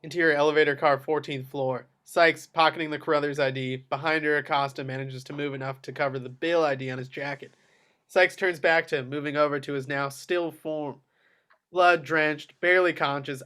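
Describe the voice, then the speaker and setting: A man's voice: fairly high pitch, fairly even intonation, moderate pace, pushed and bright. A man narrating a screenplay's action descriptions at a table read. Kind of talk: screenplay stage-direction reading.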